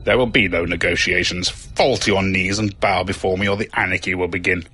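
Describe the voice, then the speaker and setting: A man's voice: deep voice, fairly even intonation, a little fast, sharp tone, slight background noise. A man voicing a menacing, coldly mocking male warlord villain in a grandiose, commanding manner. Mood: grandiose threat. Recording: music under the voice.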